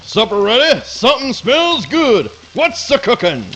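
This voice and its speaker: A man's voice: extremely high pitch, very animated, unhurried, a bit bright, faint music behind, exaggerated voice. A man voicing a pompous, clumsy bloodhound who calls himself a faithful companion, with grand, flowery diction in a comic radio play. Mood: flustered, innocent.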